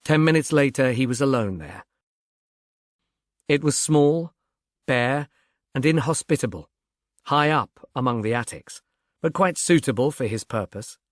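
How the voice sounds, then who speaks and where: Guy reading a novel out loud, medium pitch, very little room sound. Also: animated, a little slow, long pauses, no room sound, clean studio sound. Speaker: audiobook narrator. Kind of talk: scripted novel narration with character voices.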